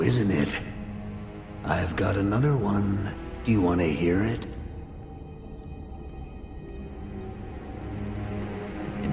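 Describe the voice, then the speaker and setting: An actor playing a cold, self-satisfied scientist villain in a video game, delivering a theatrical, gloating monologue with drawn-out emphasis. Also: male voice, fairly low pitch. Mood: smug, taunting. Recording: echoey, music underneath.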